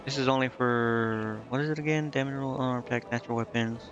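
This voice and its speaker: A man's voice: mid-range voice, fairly even intonation, fast, dull audio. A man streaming a video game, thinking aloud in a casual, offhand and indecisive way. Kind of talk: casual live gameplay commentary, thinking aloud.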